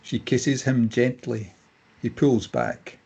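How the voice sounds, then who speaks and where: A man's voice: mid-range voice, big pitch swings, slow pace, creaky, warm tone, breathy edge. A man giving scripted narration, reading out the stage directions of a drama.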